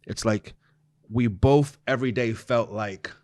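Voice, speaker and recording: A man's voice: mid-range voice, unhurried, dry recording, clean studio sound. Laid-back man hosting a podcast. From New York City. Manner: relaxed, laughs often, loose run-on questions. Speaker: podcast host. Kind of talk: casual interview chat. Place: small podcast studio. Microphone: studio mic on boom arm, headphones.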